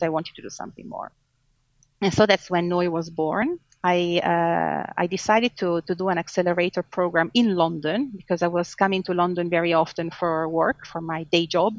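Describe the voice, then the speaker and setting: A woman's voice: lowish voice, steady tone, fairly quick, no echo. A woman with an Italian accent answering interview questions in a reflective, conversational way, feeling for her words. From Italy. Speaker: podcast guest, marketing manager. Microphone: video call.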